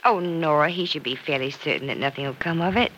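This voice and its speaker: A woman's voice: low-pitched, fast talker, a bit bright. An actress playing an earnest, affectionate young single woman who is careful about love, in old-time radio soap style. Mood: firm, slightly exasperated. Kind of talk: 1940s-50s radio soap acting. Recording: dull, noisy old radio transcription.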